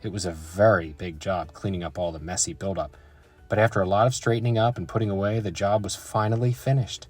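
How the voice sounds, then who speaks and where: A man reading a children's story aloud in an animated storytelling style. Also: deep voice, a little fast, slightly rough, soft background music. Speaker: children's book reader and channel host.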